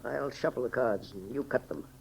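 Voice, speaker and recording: Actor as solemn gravelly scientist, old radio. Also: male voice, mid-range voice, darkish tone, close to an everyday voice. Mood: grave, composed. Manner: formal, deliberate, solemn. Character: grave, self-sacrificing male scientist doctor. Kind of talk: 1930s radio serial acting. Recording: noisy, humming 1930s radio serial recording.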